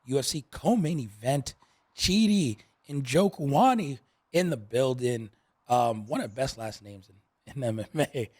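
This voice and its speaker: A man's voice: medium pitch, lively intonation, unhurried, a little mellow. A man from New York with an American accent, hosting a casual sports podcast with slangy, upbeat banter. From New York, USA. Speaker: podcast host. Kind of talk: casual sports talk and interview.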